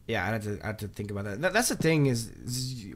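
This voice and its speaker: A man's voice: fairly low pitch, animated, fast. A man hosting a livestream, chatting casually while reading patch notes, with lots of um fillers and restarts. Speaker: livestream host.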